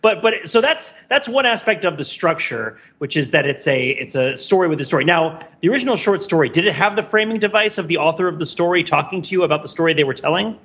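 A man's voice: on the high side, lively intonation, fast, few pauses, a bit bright, dull audio. A man co-hosting a podcast, discussing film analytically in a wordy, thinking-aloud way, often repeating himself and checking in with "right?". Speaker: podcast co-host.

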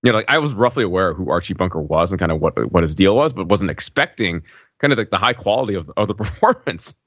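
Male co-host for casual chat, fairly low voice with lively swings, dry, slightly muffled audio. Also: fast, no room sound. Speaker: podcast co-host.

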